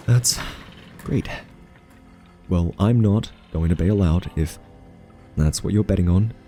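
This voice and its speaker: A man playing an earnest, awkward scientist in a soft-spoken ASMR audio roleplay, talking haltingly with nervous ums. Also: deep voice. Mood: pleading, resolute. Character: earnest, awkward male scientist pleading with a captive AI.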